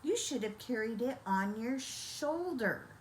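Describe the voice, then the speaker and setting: A woman's voice: on the high side, animated, a little slow, a little hiss or hum. A woman voicing a storybook mother who scolds her foolish son in an exasperated, emphatic way. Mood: cross.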